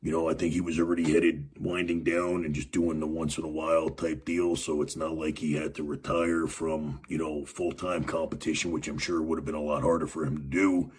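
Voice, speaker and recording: Very deep, husky-voiced man rambling. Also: flat delivery, a little fast, few pauses, rough recording. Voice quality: raspy. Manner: rambling, many fillers and restarts. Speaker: podcast host. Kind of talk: casual wrestling commentary monologue.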